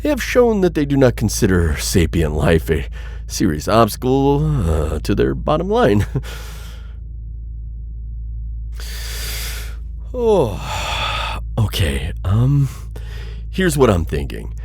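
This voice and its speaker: A man voicing a cautious but principled insectoid alien bounty hunter in an ASMR roleplay, with husky, halting, formally worded speech. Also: mid-range voice, wildly swooping pitch, darkish tone, heavily acted. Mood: grave, resolved. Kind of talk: ASMR audio roleplay addressed to listener.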